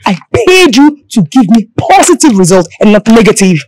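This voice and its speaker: An actress with a Nigerian accent playing an imperious, scornful wife and madam in a film drama, scolding and commanding. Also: female voice, a little high, big pitch swings, moderate pace, a little mellow. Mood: indignant. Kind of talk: film drama acting in Pidgin.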